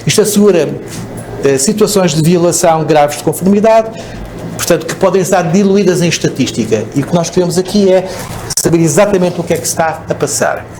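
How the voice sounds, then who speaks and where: A man's voice: sits high, expressive, a little fast, warm tone, a little hiss or hum, low-quality recording. European Portuguese man giving a conference talk. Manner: explanatory, frequent "ok?" checks and restarts. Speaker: speaker at a conference. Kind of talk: conference presentation. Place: conference hall.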